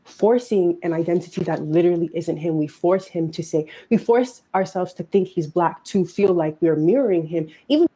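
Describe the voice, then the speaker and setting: A woman giving earnest, emphatic social commentary in a video clip, talking in quick bursts. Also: fairly low pitch, warm tone, slightly rough, low-quality recording.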